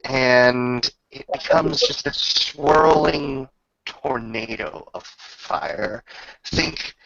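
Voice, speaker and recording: Slow, gravelly male game master. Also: mid-range voice, fairly bright tone, highs cut off. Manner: dramatic, descriptive, with pauses. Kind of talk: improvised tabletop game narration.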